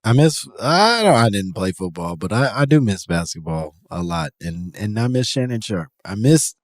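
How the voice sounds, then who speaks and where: A man's voice: lowish voice, big pitch swings, normal speed, dry recording, studio quality. A man hosting a podcast in casual comedic chat, riffing with a joking, profane manner. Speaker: podcast host.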